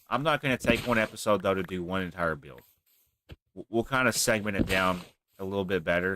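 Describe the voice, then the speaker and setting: A man with a low, deep voice talks casually while playing a game, thinking out loud as he goes. His nose is stuffy from a cold. Soft clicking sits faintly behind him. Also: background noise. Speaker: gaming channel host. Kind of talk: casual gameplay commentary.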